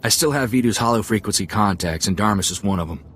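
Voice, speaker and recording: Easygoing low-voiced gunslinger sidekick. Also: male voice, fast talker, fairly bright tone, husky. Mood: confident. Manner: easygoing, folksy. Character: eager, friendly male gunslinger sidekick. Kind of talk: video game voice acting.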